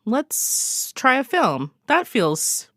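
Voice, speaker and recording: A woman's voice: high-pitched, very animated, drawn-out words, a bit bright, dry recording, studio quality. A woman giving event recommendations as a radio guest, talking casually and chattily with emphatic, informal phrasing. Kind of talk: casual conversational radio chat.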